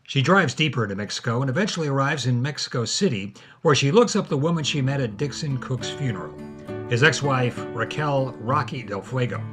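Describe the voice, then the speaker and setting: A man's voice: mid-range voice, expressive, a little fast, a bit bright, husky, soft background music. A man hosting and narrating a scripted podcast as dramatic storytelling. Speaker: host and narrator.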